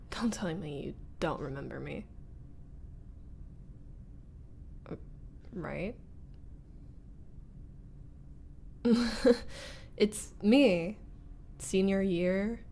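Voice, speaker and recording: Casting: actress as young female ex-bully roommate, unhurried, wry, easily flustered, roleplay audio with some background noise. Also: mid-range voice, a little mellow. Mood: flustered, disbelieving. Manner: hesitant, sighing, nervous laughs, wry muttering. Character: young woman, flustered former school bully meeting new roommate. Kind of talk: scripted roleplay audio monologue, ASMR-like.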